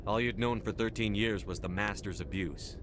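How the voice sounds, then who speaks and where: A man playing a steady, protective military commander in a video game, measured and plain-spoken. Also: on the low side, background noise, natural voice. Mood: gentle, calming.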